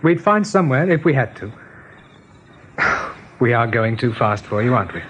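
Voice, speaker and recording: A man's voice: mid-range voice, expressive, moderate pace, fairly bright tone, breathy edge, lo-fi sound. An actor playing an earnest young male rabbit leader in a 1980s radio drama, decisive, caring and reassuring. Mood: gentle, reassuring.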